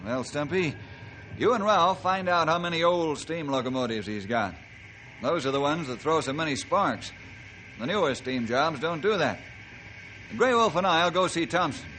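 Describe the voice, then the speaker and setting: Earnest ranger hero, very lively intonation. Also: male voice, on the high side, moderate pace. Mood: steady, earnest. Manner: plain-spoken, earnest, explanatory. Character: steady, authoritative adult male forest ranger hero. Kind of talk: 1950s radio adventure acting. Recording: noisy old 1950s radio recording.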